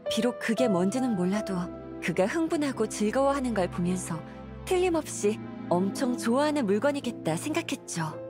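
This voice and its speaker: Actress as a high-voiced, weary, apologetic wife. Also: female voice, lively intonation, fairly quick, over music, close to an everyday voice. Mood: wistful. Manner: polite, plaintive, earnest storytelling. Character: weary, apologetic wife and mother burdened by debts. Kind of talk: video game voice acting.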